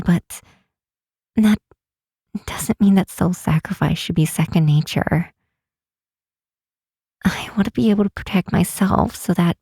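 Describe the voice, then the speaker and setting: A woman playing a timid, self-doubting young fantasy healer in an ASMR roleplay, speaking softly and haltingly in a breathy half-whisper. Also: on the low side, no room sound, clean studio sound, exaggerated voice. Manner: halting, sighing, unsure delivery. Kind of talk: ASMR roleplay, intimate and hesitant. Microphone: close, dry microphone.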